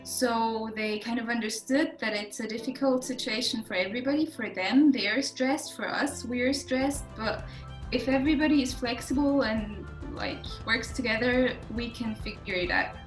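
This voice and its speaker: A woman's voice: a little high, moderate pace, faint music behind. A woman talks casually and conversationally as a student panelist. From Austria. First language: German. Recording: echoey room on a video call.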